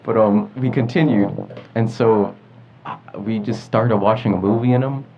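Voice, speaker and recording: A man's voice: on the low side, fairly even intonation, moderate pace. A teenage boy from New York co-hosting a podcast in casual, slangy chat. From New York, United States. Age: teens. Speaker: teen podcast co-host. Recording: muffled audio, clear background noise.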